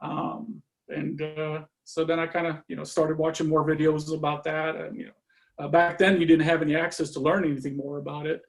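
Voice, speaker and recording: A man from Norway chatting as a guest on a video-call interview, relaxed and full of fillers, trailing off often. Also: fairly high pitch, brisk, vocal fry. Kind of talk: casual conversation.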